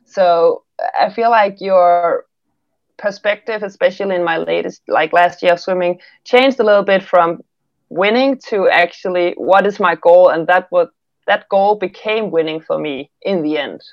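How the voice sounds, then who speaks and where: A woman's voice: on the low side, moderate pace, no room sound, highs cut off. A woman from Denmark with a Danish accent, speaking English in a casual interview, reflective and prone to restarting her sentences. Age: 30s. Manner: reflective, with frequent restarts and laughs. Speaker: guest, former Olympic swimmer.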